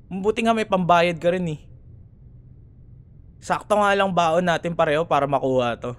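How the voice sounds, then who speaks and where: A man's voice: high-pitched, clear, resonant tone. A young man with a Filipino accent acting a cocky, grudging student rival in an ASMR roleplay, teasing and sarcastic in casual banter. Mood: smug, teasing. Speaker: voice actor.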